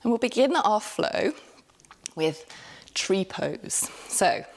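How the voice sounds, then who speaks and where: A woman yoga teacher calmly cueing a class step by step, with light laughs and the odd self-correction. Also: medium pitch, slow pace. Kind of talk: guided yoga class instruction.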